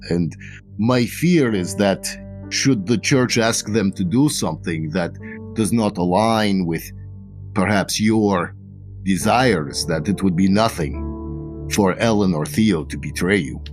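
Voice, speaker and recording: A grave, low-voiced patron of a thieves guild, put on by a man running the game. He weighs each word slowly and deliberately, with a faint bed of music beneath. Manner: slow, careful, deliberate warnings. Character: grave, measured male guild benefactor.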